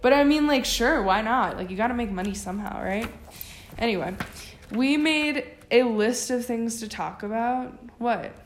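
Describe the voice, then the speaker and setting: A woman's voice: fairly high pitch, animated, normal speed, reverberant, a little hiss or hum. A young woman co-hosting a casual podcast, chatting loosely with lots of fillers. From United States. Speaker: podcast co-host. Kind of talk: casual chat.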